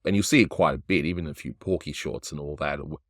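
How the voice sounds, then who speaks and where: Deep-voiced man reviewing cartoons casually. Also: fast talker, no echo, studio quality. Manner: relaxed, offhand, chuckles often. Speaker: animation reviewer, host.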